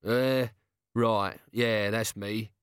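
A man's voice: lowish voice, steady tone, extremely slow, clear, resonant tone, dry recording, studio quality. A man voicing an awkward, grumpy male corporal who poses as a woman, colloquial and hesitant, as in an audiobook. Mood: flustered.

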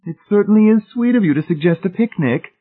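Guy doing a fussy sanctimonious older lady for an audiobook, high voice, real swoopy and genteel. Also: animated, quick pace, husky, phone-quality sound, faint music behind. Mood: doubtful, polite. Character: prim, sanctimonious, gullible older woman. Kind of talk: audiobook character voice.

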